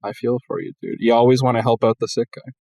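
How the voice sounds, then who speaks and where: A man on a casual podcast who cracks jokes in a mock-formal tone, then swears freely. His pitch sits in the middle for a man. The sound is dry and close, with no echo. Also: level tone, fast talker, dark tone. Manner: mock-formal, wisecracking, profane. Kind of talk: casual chat.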